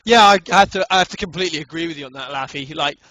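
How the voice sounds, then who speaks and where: A man's voice: a little high, rapid-fire, pushed and bright, no room sound. A young man co-casting an esports match, eager and prone to repeating himself. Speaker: esports caster. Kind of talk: game commentary.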